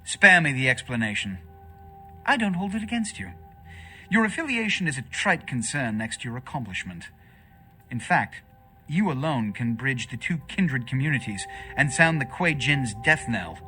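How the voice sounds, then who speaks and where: An actor playing a haughty, scheming vampire prince in a video game, with pompous, formal, imperious speech. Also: male voice, mid-range voice, sing-song, normal speed, sharp tone, breathy edge, faint music behind, full character voice. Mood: commanding, persuasive. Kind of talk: theatrical video game voice acting.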